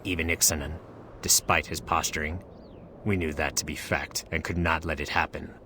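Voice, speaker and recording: An actor playing a solemn, regretful male divine being in a game, speaking in a measured, formal manner. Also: very deep voice, steady tone, background noise. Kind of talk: scripted game dialogue.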